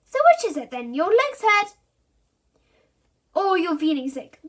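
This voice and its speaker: A woman voicing an impatient, suspicious mother who scolds her son loudly. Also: very high-pitched, big pitch swings, a little slow, pitched above the performer's own voice, full character voice. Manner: sharp, scolding and loud.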